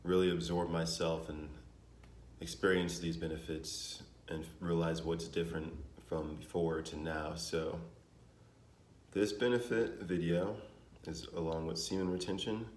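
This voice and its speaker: A young man with a naturally deep voice, talking casually to his vlog audience in a relaxed, rambling way with many fillers. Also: measured pace. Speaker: vlogger. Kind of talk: casual vlog talk to camera. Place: home in Denver. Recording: echoey room with faint ambience.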